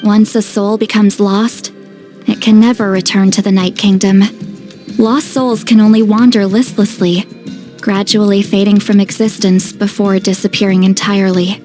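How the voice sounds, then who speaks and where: A woman's voice: medium pitch, level tone, normal speed, mellow, faint music behind, lightly acted. A woman voicing a grave, knowledgeable shaman in a video game, explaining things solemnly. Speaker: voice actor in a game. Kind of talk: scripted game cutscene acting.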